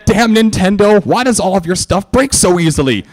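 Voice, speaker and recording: Man putting on an excitable, high-pitched female unicorn. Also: normal speed. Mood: exasperated. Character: excitable female cartoon unicorn, lovestruck and menacing. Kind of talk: live dramatic fan fiction reading.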